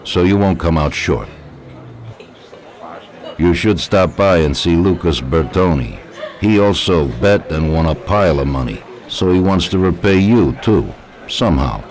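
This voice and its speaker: An actor playing a calm, authoritative, paternal crime boss in a video game cutscene. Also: male voice, deep voice, measured pace. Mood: pleased, assured. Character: calm, authoritative male crime boss rewarding his man.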